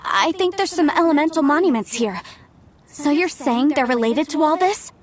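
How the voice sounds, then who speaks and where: A woman's voice: high voice, lively intonation, normal speed. An actress playing an earnest young woman researcher explaining ruins in game dialogue. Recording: game audio with music underneath.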